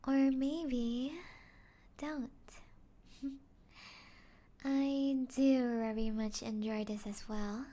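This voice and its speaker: A woman playing a taunting, playful female vampire in an ASMR roleplay, teasing the listener and often slipping into breathy whispers. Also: fairly high pitch, steady tone, extremely slow, pauses often, warm tone.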